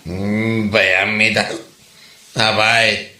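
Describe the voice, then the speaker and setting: A man acting a defiant, belligerent drunkard in a comedy drama, with a slurred drawl that can burst into shouting. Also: lowish voice, little pitch movement, drawn-out words, exaggerated voice. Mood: sullen, drunken. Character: belligerent drunkard husband and grown son, defiant. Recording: echoey room.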